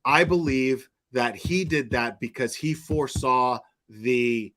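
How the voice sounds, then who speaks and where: A man's voice: mid-range voice, takes it slowly, fairly bright tone. A man giving casual online opinion commentary in a rambling, blunt and crude way, swearing freely. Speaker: YouTube commentator.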